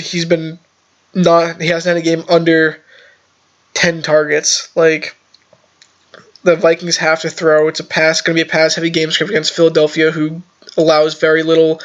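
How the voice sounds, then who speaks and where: A man's voice: on the high side, fairly bright tone, clear, resonant tone. A young man who is a little sick, talking through a casual fantasy football lineup review with analytical, rambling explanations. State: sick, slightly off voice. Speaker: fantasy football content creator. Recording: slightly dull lo-fi audio.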